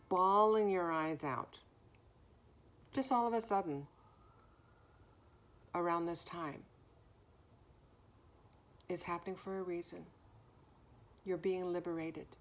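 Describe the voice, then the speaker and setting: A woman giving a spiritual message in a gentle, soothing way, with a naturally deep voice that is somewhat hoarse. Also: takes it slowly, long pauses. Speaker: spiritual card reader. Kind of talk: spiritual message, slow and reflective.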